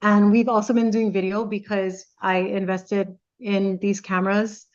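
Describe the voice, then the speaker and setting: A woman radio host talks in a chatty, playful way, walking through each step like she is guiding a listener. Her voice sits in a middle pitch for a woman. The recording is a little dull and lo-fi. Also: level tone, a little slow. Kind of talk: casual chat.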